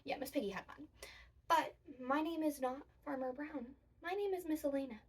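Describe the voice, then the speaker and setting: Female children's storytime presenter, high voice, sing-song emphasis, recorded a little away from the mic. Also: normal speed, distant mic. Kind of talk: demonstrating a children's storytime activity and reading picture books.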